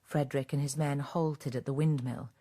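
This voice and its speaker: Low, mellow woman narrator. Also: fairly even intonation, normal speed. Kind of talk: scripted radio drama narration.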